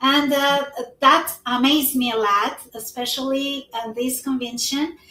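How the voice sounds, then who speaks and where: A woman's voice: high voice, fairly even intonation, measured pace, pushed and bright, slight background noise. Woman, 40s, earnest, lots of uhs, echoey room, kinda far from the mic. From Albania. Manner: earnest, non-native English with frequent fillers. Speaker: guest. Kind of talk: casual conversation.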